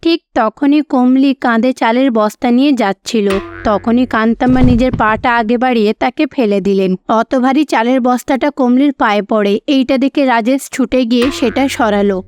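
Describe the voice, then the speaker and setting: A woman's voice: fairly high pitch, normal speed, darkish tone, muffled recording, slight background noise. A woman storyteller reading scripted narration for a cartoon moral tale.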